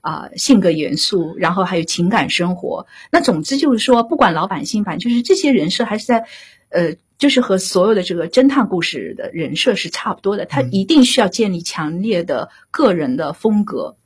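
Female guest director, lower pitch, strongly husky, deliberate explanatory talk, repeated key points. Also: lively intonation. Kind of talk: explanatory discussion.

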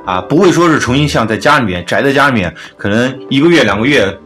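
A man's voice: medium pitch, expressive, fast, a bit bright. A man hosting a casual podcast chat. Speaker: podcast host. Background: background music.

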